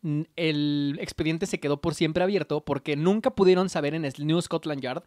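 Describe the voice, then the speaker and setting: Young mexican guy telling history on a podcast, slightly high voice, dry close mic. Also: moderate pace, a little mellow, dry recording. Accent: Mexican Spanish. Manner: reads history notes with slangy asides. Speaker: podcast host telling the story. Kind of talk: casual history podcast narration. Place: small home studio with colorful shelves. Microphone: desk mic on arm, headphones.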